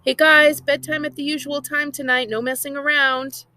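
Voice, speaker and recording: A woman's voice: sits high, flat delivery, normal speed, bright, forceful tone, pitched above the performer's own voice. An American woman voicing a busy, nagging but affectionate mother in a storybook read-aloud. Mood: brisk. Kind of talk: storybook character reading.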